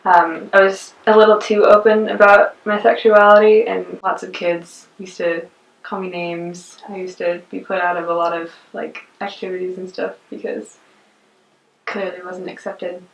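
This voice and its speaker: A teenage girl, a high school freshman, speaking hesitantly in a documentary interview. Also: level tone, moderate pace, gravelly. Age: teens. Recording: distant, roomy, dull lo-fi sound.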